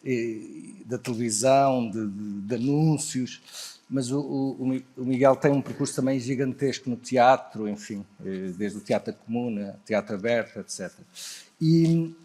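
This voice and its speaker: A man with a European Portuguese accent hosting a semi-formal stage conversation, pausing often between short phrases. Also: medium pitch, dark tone. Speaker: interview host.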